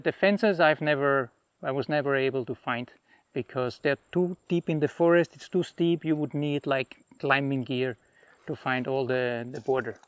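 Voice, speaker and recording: A middle-aged man from Austria, a hotel owner, talking in a relaxed and rambling way with restarts while walking his jungle property. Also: fairly high pitch, smooth, no room sound. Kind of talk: casual interview on a property tour.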